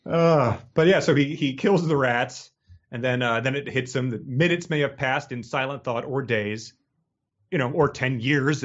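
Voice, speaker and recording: A man chats casually as a podcast co-host, his voice sitting at a middle pitch. He rambles in a halting, amused way. The recording is slightly dull and lo-fi. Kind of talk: casual humorous book discussion. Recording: dull lo-fi audio.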